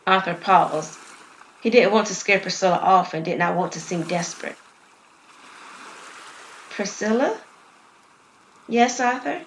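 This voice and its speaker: A woman's voice: lowish voice, fairly even intonation, moderate pace, echoey room, a little hiss or hum, poor audio. A woman reading a scripted story as its narrator and storyteller. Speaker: storyteller, writer and performer.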